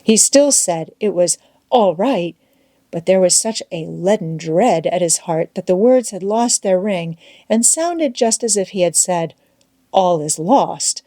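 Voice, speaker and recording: A woman reading a classic novel aloud as an audiobook narrator, with expressive, dramatic delivery. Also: on the low side, measured pace, no echo, studio quality. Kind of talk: scripted storytelling narration.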